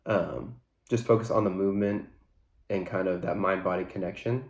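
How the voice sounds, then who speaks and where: A man's voice: low-pitched, normal speed, echoey room. A man hosting his own fitness podcast, talking in a loose, rambling way with lots of fillers, his voice stuffy and congested from a blocked nose. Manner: relaxed, rambling, many fillers. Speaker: podcast host. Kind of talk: casual solo podcast talk.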